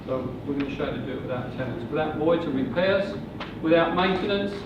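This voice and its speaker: A man in his sixties giving a measured, slow sales presentation about property investing. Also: a little high, steady tone. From England. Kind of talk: seminar presentation. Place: hotel function room. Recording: muffled, distant, echoey room with noise.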